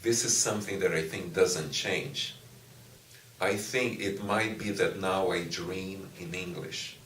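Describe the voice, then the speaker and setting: Slow, deep-voiced Brazilian man. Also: fairly bright tone, slight background noise. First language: Portuguese. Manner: slow, thoughtful, with frequent "you know" and restarts. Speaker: university professor. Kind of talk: interview answers. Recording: echoey room, distant mic, faint ambience.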